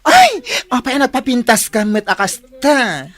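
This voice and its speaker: A woman with an Ilocano accent playing an excitable, showy, gossipy office friend in a radio comedy, full of gushing exclamations. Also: on the high side. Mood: gushing envy. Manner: shrieking exclamations, gushing, drawn-out delivery.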